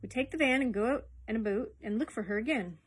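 An eager young assistant acted out by a woman reader, raised in pitch and a bit husky. He is a naive, earnest storybook lad who gets excited easily. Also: quick pace. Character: eager, simple young male dogcatcher's assistant.

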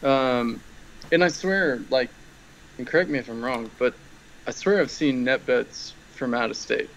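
A man's voice: medium pitch, unhurried, fairly bright tone, poor audio. A young man, co-hosting a casual podcast over a video call, talking in an easygoing, hedging way.

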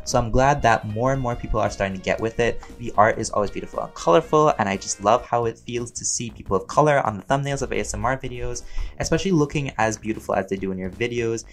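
Expressive, rambling man over background music. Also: medium pitch, fast talker. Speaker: voice actor and audio roleplay creator answering fan questions. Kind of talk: casual chatty Q&A.

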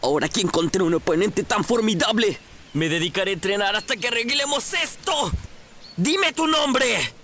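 A man's voice: high voice, animated, moderate pace, pushed and bright, slight background noise, heavily acted. A man with a Latin American Spanish accent voicing a proud, vengeful young ninja assassin in an anime fan dub, theatrical, menacing and boastful. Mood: strained, determined, then vengeful shouting.

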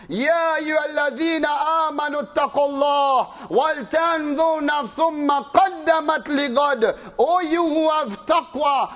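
High-voiced impassioned male preacher. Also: fairly bright tone. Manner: impassioned, rhetorical questions, slow dramatic repetition; recites Arabic verses in a rapid chant. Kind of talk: Islamic sermon.

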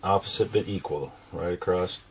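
A middle-aged American man with a fairly low voice gives a step-by-step drawing lesson. He explains things simply and patiently, as if for children. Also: moderate pace, slight background noise, rough recording. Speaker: drawing instructor and show host.

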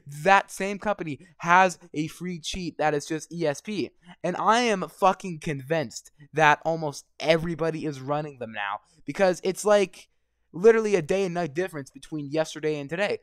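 A young man with a slightly hoarse voice, ranting and swearing constantly while commenting over gameplay. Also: on the high side, dry recording. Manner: angry, swearing constantly, rambling. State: voice going hoarse. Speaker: gaming vlogger.

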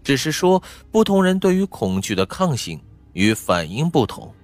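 A man's voice: mid-range voice, very animated, moderate pace. A man narrating fiction from a script as a dramatic audiobook. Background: faint background music.

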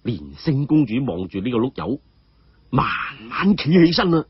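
Animated man telling stories. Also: medium pitch, normal speed, slightly rough, no echo, dull audio. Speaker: storyteller. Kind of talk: dramatic story narration.

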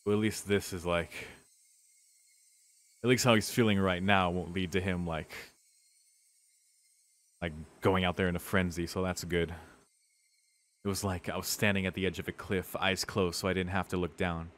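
A man's voice: low-pitched, little pitch movement, moderate pace, pauses often, fairly bright tone. A man streaming a visual novel, reading the narration dramatically and breaking into casual, sweary reactions.

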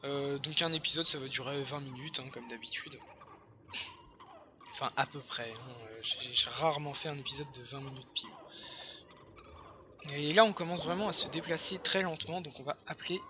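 A hoarse young man chatting casually over his own gameplay, excitable and full of fillers. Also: mid-range voice, normal speed, pauses often, noisy background. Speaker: gameplay commentator. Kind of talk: casual let's-play commentary.

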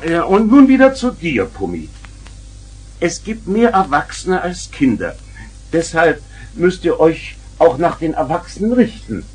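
A man's voice: a little high, big pitch swings, measured pace, a little mellow, slightly rough, full character voice, low-quality recording. Stern, grumpy father. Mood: lecturing. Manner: stern, lecturing. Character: grumpy, exasperated father of two young girls. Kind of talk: 1970s German children's radio play acting.

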